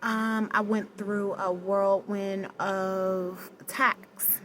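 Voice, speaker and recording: A woman with a low voice talking straight to the camera in a personal vlog, hesitant and searching for words, with many pauses and restarts. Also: level tone, a little hiss or hum. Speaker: vlogger. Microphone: no external mic, camera mic.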